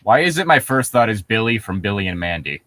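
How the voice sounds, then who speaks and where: A man's voice: fairly low pitch, very animated, fast talker, fairly bright tone, dry recording, clean studio sound. A sarcastic young man joking and reading casually as co-host of a comedy story reading.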